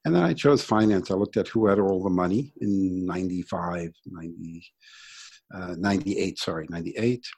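A middle-aged or older American man from Brooklyn telling his own story in a conversational, reflective interview style with hesitant pauses. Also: low-pitched, a little mellow, breathy edge. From Brooklyn, New York, United States. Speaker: interview guest, sustainability entrepreneur.

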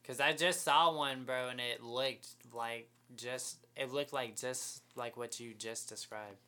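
A man's voice: mid-range voice, fairly even intonation, a little slow, bright, forceful tone. A man chatting casually like an enthusiastic fan, with very slangy, bro-heavy talk. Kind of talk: casual podcast chat.

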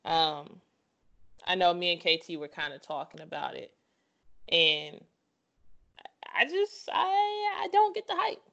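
A woman's voice: fairly low pitch, sing-song, unhurried, gravelly, pauses often, fairly bright tone, lo-fi sound. Opinionated woman co-hosting a sports podcast. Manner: opinionated, emphatic repetition. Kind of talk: casual sports chat.

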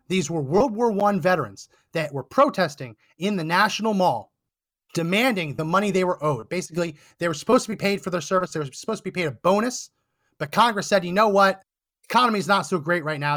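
Male podcast host, hoarse and fading voice, high-pitched, emphatic indignant monologue. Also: animated, fast, a bit bright. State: hoarse, losing his voice. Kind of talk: political commentary monologue. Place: Texas.

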